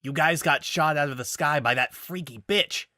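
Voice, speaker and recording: A man's voice: fairly high pitch, moderate pace, pushed and bright, no echo, studio quality, pitched above the performer's own voice. A man voicing a brash, tough young woman flyer who talks bluntly and urgently.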